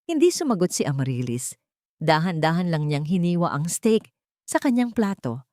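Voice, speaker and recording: Filipino lady reading a dramatic love story, voice on the low side, really dry recording. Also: big pitch swings, normal speed, darkish tone, dry recording.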